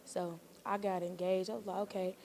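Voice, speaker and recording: A woman's voice: fairly low pitch, little pitch movement, fairly quick, a little mellow. Laid-back, slangy female interviewee. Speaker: young stage play actor being interviewed. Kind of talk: casual slangy chat.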